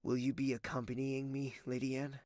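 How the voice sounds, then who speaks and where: A man's voice: mid-range voice, level tone, fairly quick, darkish tone, no echo, studio quality, lightly acted. A man playing a tender, secretive young prince with a formal, hesitant way of speaking in a romantic audio drama. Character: soft-spoken young prince in love, secretive and wistful. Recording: music under the voice.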